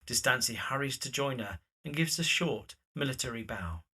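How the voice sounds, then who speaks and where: Bright, husky male narrator. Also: mid-range voice, lively intonation, normal speed. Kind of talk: scripted scene narration.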